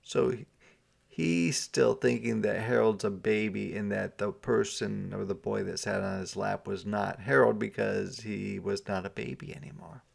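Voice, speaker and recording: A man reading a children's storybook aloud as a teacher, deliberately explaining words and events as he goes. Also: fairly low pitch, fairly even intonation, creaky.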